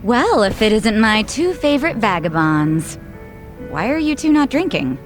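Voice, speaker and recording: A confident, teasing woman in a video game. She is bold and playful, fairly high in pitch and very expressive in intonation, with faint music behind her. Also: measured pace. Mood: playful, sassy. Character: sassy, confident woman, a teasing drinking companion. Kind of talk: video game English dub dialogue.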